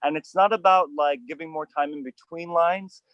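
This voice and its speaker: A man hosting an outdoor acting coaching session, animated and emphatic, with frequent restarts. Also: on the high side, moderate pace, no echo, highs cut off.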